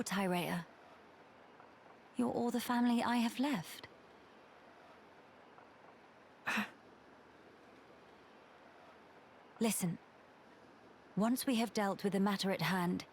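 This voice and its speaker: An actress voicing a kind, resolute young crown princess in a video game drama, with a British accent and formal, earnest delivery. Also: female voice, fairly high pitch, unhurried, long pauses, darkish tone, slight background noise. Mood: earnest, tender.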